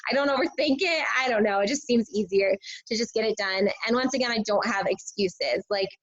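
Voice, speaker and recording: A woman, a teacher and fitness coach, sharing casual tips in a chatty way, full of filler words, her voice a bit hoarse and scratchy. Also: a little high, brisk. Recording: dull lo-fi recording.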